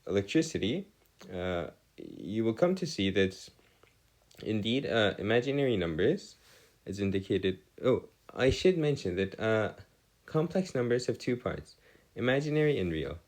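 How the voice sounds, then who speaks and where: Male tutor, low register, very varied intonation, formal and halting, explaining as he works. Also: big pitch swings, a little mellow. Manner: formal wording, halting, self-correcting. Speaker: math tutor. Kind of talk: improvised explanatory lesson at a digital whiteboard.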